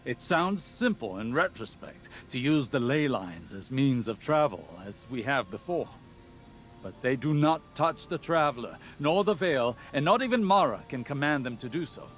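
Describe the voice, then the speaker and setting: An actor playing an earnest, scholarly older male warlock in a video game, speaking in a deliberate, philosophical way. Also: medium pitch, sing-song, fairly bright tone, soft background music. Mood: earnest, reflective. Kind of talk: dramatic video game dialogue.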